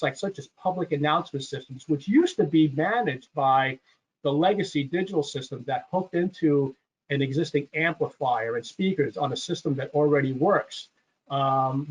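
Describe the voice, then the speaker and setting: Guy with a slightly high voice walking thru a product webinar, explains stuff casually, muffled lo-fi sound. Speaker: webinar presenter. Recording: dull, lo-fi webinar audio.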